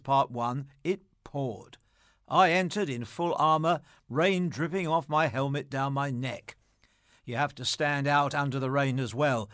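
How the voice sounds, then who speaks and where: A man's voice: mid-range voice, fairly bright tone, no echo, studio quality. A man presenting scripted material and reading anecdotes aloud.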